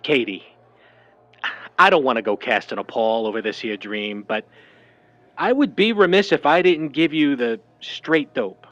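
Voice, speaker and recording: An actor playing a slick, devoted old-time talent agent with slangy, careful patter, in a vintage radio drama style. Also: male voice, medium pitch, lively intonation, a little slow, a bit bright, dry recording, dull audio. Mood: hesitant, careful.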